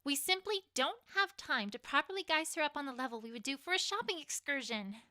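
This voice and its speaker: A woman's voice: high-pitched, animated, a little fast, pushed and bright, no room sound, studio quality. A woman doing Twilight, a commanding unicorn mare. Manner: decisive and commanding.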